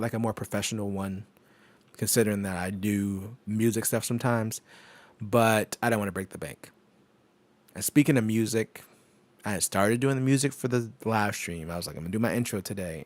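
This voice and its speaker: Low-voiced man rambling on a live stream. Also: dry recording. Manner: rambling, laughs often, many fillers and restarts. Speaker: tech streamer. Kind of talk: casual live stream chat. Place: home desk setup. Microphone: microphone on an arm through an audio interface.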